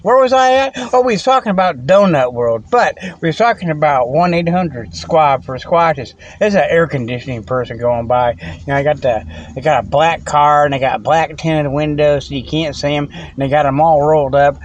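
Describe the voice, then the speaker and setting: A man's voice: a little high, moderate pace, muffled recording, slight background noise. Rambling folksy man in a parking lot. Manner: folksy, laughs often, keeps saying "okay?". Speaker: host of a comedy podcast segment. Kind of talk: rambling casual monologue. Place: in a parked vehicle in a parking lot. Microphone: tablet.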